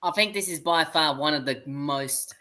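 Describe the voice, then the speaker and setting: A woman's voice: deep voice, moderate pace, bright, forceful tone. A woman chatting casually as the co-host of a podcast. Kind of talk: casual chat.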